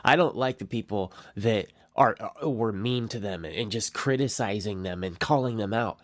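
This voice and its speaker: Male reactor, low voice, dry close sound, blunt and opinionated. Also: normal speed, no echo. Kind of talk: casual reaction commentary.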